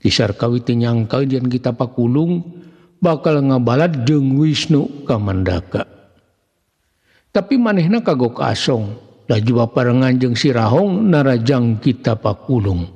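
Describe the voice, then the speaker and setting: Long reverb, male storyteller, Sundanese accent, slow pace, formal measured delivery, scripted dramatic narration. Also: mid-range voice, expressive, darkish tone, husky, lo-fi sound. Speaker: narrator of Sundanese serial tales.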